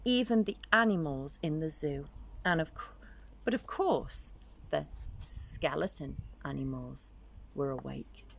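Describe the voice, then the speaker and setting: A woman's voice: low voice, lively intonation, unhurried, vocal fry, a little hiss or hum. A woman storyteller reading a children's picture book aloud expressively, drawing out words for dramatic emphasis.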